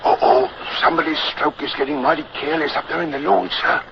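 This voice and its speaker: An actor playing a seasoned, loyal seaman, hearty and deferential, with salty colloquial talk and a gravelly voice in an old radio drama. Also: on the high side, fairly even intonation, fast talker. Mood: excited, watchful. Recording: muffled, very noisy old radio recording.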